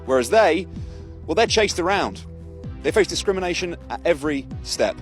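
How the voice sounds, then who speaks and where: A male reporter reading a scripted news report in a measured, pointed way. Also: a little high, expressive, soft background music.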